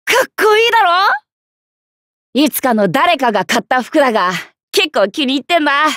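A hot-blooded young tomboy knight from an anime game. The voice is high and sharp, swinging widely in pitch, with rough, slangy, swaggering phrasing and a brash, cocky temper. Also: female voice, big pitch swings, moderate pace, no echo, studio quality. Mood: proud, cheerful. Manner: rough masculine slangy speech, boisterous, swaggering. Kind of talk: Japanese anime game character voice lines.

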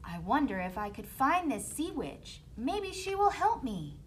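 Lady doing a dreamy young mermaid for a kids storybook, high swoopy voice, takes her time. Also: very animated, measured pace, a little hiss or hum. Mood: hopeful. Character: young mermaid, dreamy, lovestruck, brave and wistful. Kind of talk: children's storybook puppet acting.

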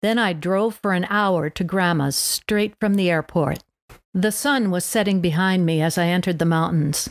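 A woman's voice: fairly low pitch, dry recording. A woman podcast host reading a scripted narration aloud for language learners.

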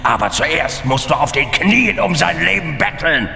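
A man's voice: lively intonation, moderate pace, creaky, sharp tone, exaggerated voice. An actor playing a cruel, mocking demon lord in a horror radio drama, theatrical and sneering in his courtesy. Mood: menacing. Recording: long booming reverb, music underneath.

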